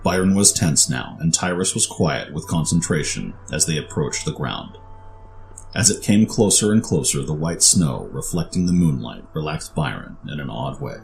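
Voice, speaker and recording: A man's voice: a bass voice, sing-song, normal speed, creaky, a little mellow, soft background music. A man narrating a scripted fantasy story as its storyteller.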